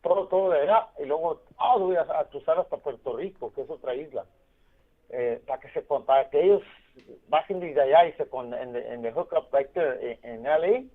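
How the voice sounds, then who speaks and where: A man's voice: fairly high pitch, fast talker. A man on a phone line, chatting casually in slang and switching between two languages. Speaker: phone caller from prison. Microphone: telephone. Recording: tinny, band-limited prison phone line.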